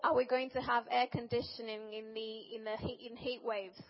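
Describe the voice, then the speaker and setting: Flat, gravelly woman interpreting a sermon. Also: on the high side, a little slow. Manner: translates phrase by phrase, with restarts and self-corrections. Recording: long reverb, dull sound.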